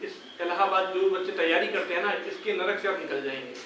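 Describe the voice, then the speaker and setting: A man's voice: sits high, a little fast, bright, forceful tone. A young man with a North Indian accent from Uttar Pradesh, speaking informally to viewers in an earnest, exhorting, repetitive way. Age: young adult. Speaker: YouTuber addressing exam candidates. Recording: very echoey room, distant mic, faint noise.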